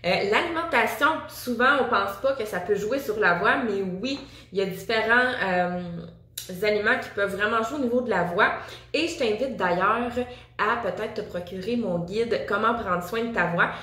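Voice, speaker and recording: A woman with a Quebec French accent teaches singing in a casual, upbeat video lesson, chatting directly to her viewer. Her voice sits at an ordinary middle pitch. The room around her is noticeably echoey. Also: moderate pace, sharp tone. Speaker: singing teacher and vocal coach.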